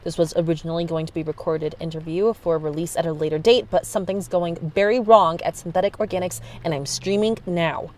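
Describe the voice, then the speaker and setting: A woman's voice: on the low side, fairly even intonation, fairly quick, hardly any pauses, darkish tone, natural voice. Actress as female investigator recording in danger. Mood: urgent, uneasy. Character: investigative interviewer, secretly recording as danger unfolds. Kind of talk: audio drama acting.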